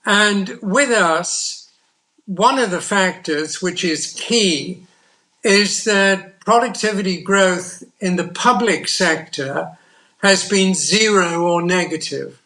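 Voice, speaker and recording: An older man from the United Kingdom speaking as a panel guest, measured and deliberate as he searches for words. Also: sits high, bright, forceful tone. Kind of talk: panel discussion.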